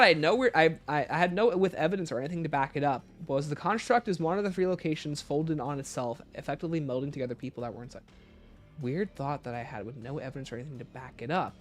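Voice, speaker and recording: A man's voice: fairly high pitch, fairly bright tone. A man hosting a casual livestream, chatting informally and playfully as he answers viewer questions. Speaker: livestream host.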